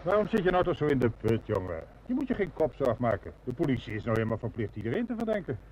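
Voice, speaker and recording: A man acts a self-assured banker in a radio play, brushing worries aside breezily. He talks fast with lively swings of pitch, over faint background noise. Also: close to an everyday voice. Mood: reassuring, dismissive. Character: brisk, reassuring male bank man, self-assured. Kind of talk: Dutch radio play acting.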